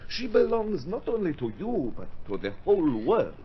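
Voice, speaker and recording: An actor playing a suave, courteous European opera singer with eloquent, theatrical delivery in a radio drama. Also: male voice, high-pitched, wildly swooping pitch, moderate pace. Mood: earnest, courtly. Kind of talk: mid-century Australian radio drama acting. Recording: dull, noisy old radio drama recording.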